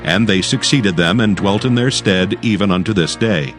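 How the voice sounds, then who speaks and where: A man giving formal, measured narration of scripture in archaic English, as a storyteller would. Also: lowish voice, soft background music.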